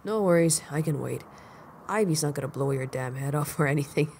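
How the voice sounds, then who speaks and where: A man's voice: a little high, fairly quick, a little mellow, a little hiss or hum. A man playing an easygoing, teasing veteran of a crime syndicate in an audio roleplay, conversational and amused. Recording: music playing clearly behind the voice.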